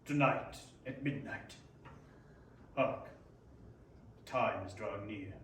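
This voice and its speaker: A man playing a solemn, stern dying Christmas spirit in a readers theater, speaking gravely and deliberately. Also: on the low side, a little hiss or hum. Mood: grave, weary. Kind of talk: readers theater stage reading. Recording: very echoey room, distant mic.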